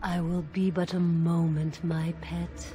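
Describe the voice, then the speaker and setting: A woman's voice: on the low side, little pitch movement, unhurried, warm tone, natural voice. A woman playing a possessive, seductive desire demon in a fantasy video game, silky and coldly defiant. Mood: tender, coaxing.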